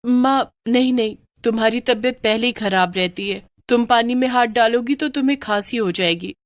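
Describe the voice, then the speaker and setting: A woman's voice: fairly high pitch, normal speed, no room sound, pitched above the performer's own voice, natural voice. A woman voicing a tired, dutiful young working daughter in a cartoon story, reassuring in manner. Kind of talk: cartoon moral story acting.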